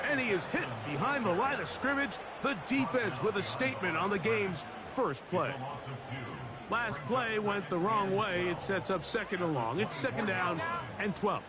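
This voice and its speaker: Male play-by-play announcer for a football video game, high pitch, steady broadcast read, heavy game noise. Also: a little slow. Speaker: play-by-play commentator.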